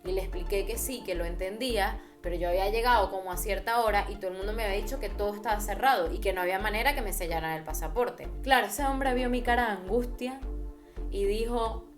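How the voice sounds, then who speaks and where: Young Venezuelan woman, chatty travel vlogger, mid-pitched voice over clear background music. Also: fast. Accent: Venezuelan Spanish. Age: young adult. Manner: chatty, lively retelling with quoted dialogue. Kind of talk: casual storytelling. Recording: music playing underneath.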